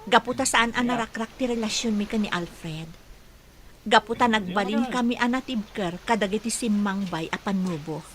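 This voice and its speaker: A woman's voice: mid-range voice, moderate pace, lightly acted. An actress playing a sorrowful, dramatic woman in a radio drama, with an Ilocano accent. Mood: pained, dramatic. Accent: Filipino Ilocano.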